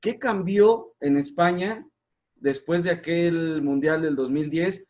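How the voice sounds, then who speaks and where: A man's voice: fairly high pitch, slow pace, a little mellow. A man working as an interviewer, putting questions politely to his guest. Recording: muffled, lo-fi call audio.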